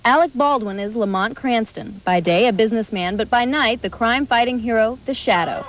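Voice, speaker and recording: A woman's voice: a little high, a little fast, noisy background. A woman reading scripted promotional narration as an entertainment news reporter.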